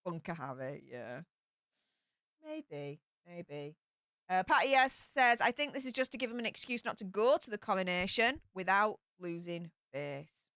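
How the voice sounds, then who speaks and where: A woman's voice: mid-range voice, big pitch swings, a little slow, fairly bright tone, no echo, clean studio sound. A woman with a Yorkshire accent hosting a casual livestream, reading viewer comments aloud and answering them in a chatty way with broad local slang. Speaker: livestream host reading chat. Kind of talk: casual live chat.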